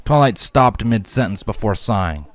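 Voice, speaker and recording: Low-pitched man narrating a story. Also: animated, moderate pace, dry recording. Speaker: fan fiction narrator.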